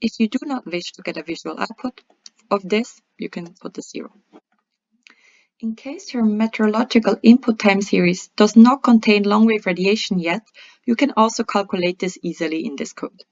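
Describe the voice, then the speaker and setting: A woman presenting a scripted software tutorial in a methodical, step-by-step instructional way. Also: medium pitch, moderate pace. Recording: dull lo-fi voice-over.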